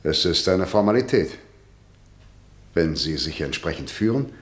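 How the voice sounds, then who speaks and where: A stern, middle-aged prosecutor in a vintage radio drama. His low voice moves widely in pitch while staying formal, clipped and condescending. The sound is roomy, like an old studio. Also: animated, measured pace. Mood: formal, pressing. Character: stern, formal chief public prosecutor, an authoritarian father. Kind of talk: mid-century German radio drama acting.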